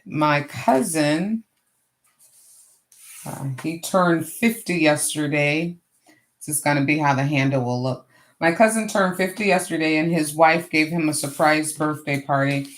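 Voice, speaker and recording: A middle-aged woman with a hoarse voice, hosting a casual crafting livestream and chatting to viewers as she works. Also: sits low, a little slow. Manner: chatty, greets viewers warmly and often. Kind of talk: casual live craft chat.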